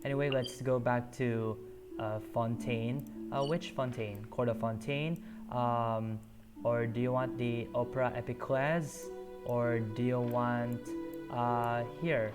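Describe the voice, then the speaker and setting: Man, game streamer, slow unhurried talk, halting with many fillers, game music behind. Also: medium pitch, fairly even intonation. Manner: halting, lots of um and uh. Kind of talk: casual gameplay commentary.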